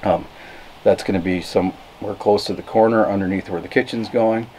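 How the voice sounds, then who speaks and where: A man in his fifties explaining casually to camera, unhurried and thinking aloud as he goes. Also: fairly low pitch, fairly even intonation, a little mellow, low-quality recording. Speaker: vlogger, cabin builder. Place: outdoors in a forest, open timber frame. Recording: clear background noise outdoors.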